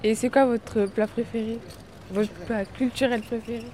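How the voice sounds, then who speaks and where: A woman's voice: a little high, steady tone, normal speed, dark tone. A young woman interviewing people outdoors on a street, asking short friendly questions. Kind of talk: vox pop interviewing. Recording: street interview with crowd noise.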